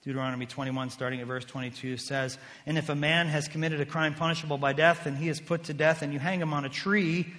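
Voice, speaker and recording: A man preaching with a bright, forceful voice that sits a little high. He delivers his sermon emphatically, swinging from loud declarations to hushed intensity. His words ring out with a long reverb, as if in a large hall. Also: steady tone, fast, few pauses. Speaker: pastor.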